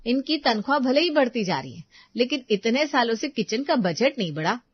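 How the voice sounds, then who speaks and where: A woman playing a thrifty, practical young daughter-in-law who talks briskly and defensively in a family story. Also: a little high, very animated, breathy edge, no room sound, highs cut off. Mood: firm.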